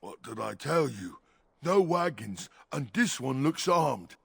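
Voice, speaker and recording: An actor playing a dim, uneasy highwayman henchman in a fantasy game, rough-spoken and simple. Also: very animated, takes it slowly, no room sound, exaggerated voice.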